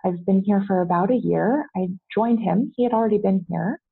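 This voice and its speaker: An American woman with a creaky, husky voice shares her story as an interview guest. She talks candidly and haltingly, rephrasing as she goes. The sound is slightly dull, as if over a remote line. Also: medium pitch. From United States. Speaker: podcast guest. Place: at home, remote podcast call.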